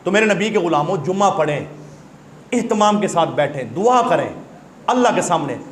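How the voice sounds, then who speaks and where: High-voiced preacher. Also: male voice, animated, normal speed, slight background noise. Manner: impassioned, switching into recited verse. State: sore throat. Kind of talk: sermon. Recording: echoey hall.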